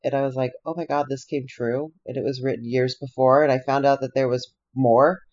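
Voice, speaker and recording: A woman's voice: a bass voice, a little fast, muffled recording. A woman co-hosting a podcast in casual chat, swearing freely and acting out quotes as she tells stories. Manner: swears freely, animated storytelling with put-on quotes. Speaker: podcast co-host.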